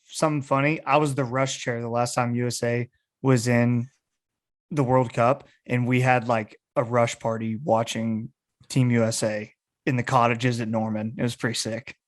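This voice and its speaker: A man's voice: mid-range voice, level tone, moderate pace. A young man from the United States hosting a podcast in casual sports chat. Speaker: podcast host.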